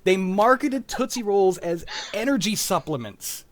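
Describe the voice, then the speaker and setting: A man's voice: sits high, expressive, a little slow. A young man hosting a livestream, chatting casually with a wry, joking, sweary manner. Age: young adult. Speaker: host of a livestreamed radio script show. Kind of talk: casual chat after a show. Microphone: home microphone on a video call.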